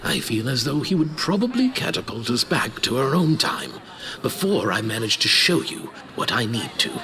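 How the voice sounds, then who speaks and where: An actor playing a fussy, kindly old archivist and teacher with a hesitant, theatrical way of talking, in a fantasy audio drama. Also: male voice, mid-range voice, sing-song, moderate pace, bright, forceful tone. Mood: anxious, kindly. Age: older. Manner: hesitant, theatrical, sometimes breathy.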